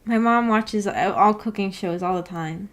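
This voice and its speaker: A woman with a mid-pitched voice hosts a livestream in a casual, unhurried manner. She thinks out loud and rambles along, moving between her own remarks and comments she reads from viewers. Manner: laid-back, rambling, thinking aloud. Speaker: livestream host.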